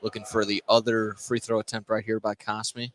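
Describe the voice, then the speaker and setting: A man's voice: lowish voice, little pitch movement, normal speed, dry recording. A man with an American accent doing live play-by-play radio commentary of a basketball game. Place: indoor sports gym. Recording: loud gym noise behind. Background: loud game ambience.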